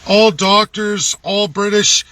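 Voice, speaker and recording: Male political vlogger, Canadian accent, high forceful voice, emphatic and indignant, unscripted, swears freely. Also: steady tone, takes it slowly. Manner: blunt, indignant, emphatic, profane. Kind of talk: unscripted political rant to camera. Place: laundromat.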